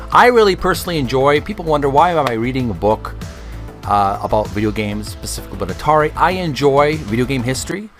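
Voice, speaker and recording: A middle-aged man hosting a channel, reading a book aloud in a deliberate, halting way, phrase by phrase. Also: mid-range voice, a bit bright. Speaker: video channel host.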